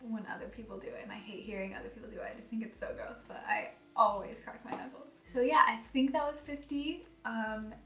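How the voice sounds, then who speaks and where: Soft-spoken young woman, echoey room. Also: a little high, fairly even intonation, fairly quick, few pauses. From United States. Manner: chatty, giggly, self-deprecating. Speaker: vlogger. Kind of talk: casual vlog chat. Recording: echoey room, voice a bit far from mic, faint music.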